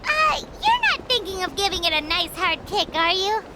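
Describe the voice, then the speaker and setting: A woman voicing a small, childlike floating fairy companion in a video game, squeaky, excitable and full of curiosity. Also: normal speed, very noisy. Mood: puzzled. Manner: exclamatory, inquisitive. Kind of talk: video game character acting. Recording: loud background game music.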